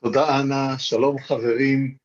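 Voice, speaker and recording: A middle-aged man from Israel speaks brisk, formal remarks at a quick clip. His audio is dull and lo-fi, typical of an online video call. He talks with measured, deliberate phrasing. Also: medium pitch, little pitch movement. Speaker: advisory council co-chair. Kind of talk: formal remarks and prayer reading.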